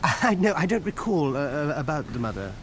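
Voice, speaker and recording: An actor in a radio drama playing a wry, defensive social climber who talks hesitantly and politely evades. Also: male voice, fairly high pitch, sing-song, fairly quick. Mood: polite, puzzled. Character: evasive, smooth-talking male social climber, defensive and wry.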